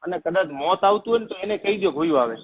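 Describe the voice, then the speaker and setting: A man with a high voice speaks in an impassioned, emphatic monologue. He talks quickly and informally. The recording is a little dull and lo-fi. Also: animated.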